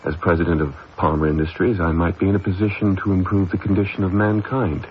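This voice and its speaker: An actor playing an evasive, haughty company heir in a halting manner, in an old-time radio drama style. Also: male voice, sits low, little pitch movement, close to an everyday voice. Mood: earnest, brooding. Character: evasive, smug young company heir, accusing his stepmother. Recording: muffled old radio recording, faint noise.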